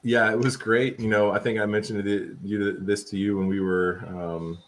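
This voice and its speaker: Male coach, 30s, very low voice, laid-back storytelling, faint background noise. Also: moderate pace. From Portugal. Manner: relaxed, rambling, many fillers and restarts. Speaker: assistant volleyball coach. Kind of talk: casual interview conversation.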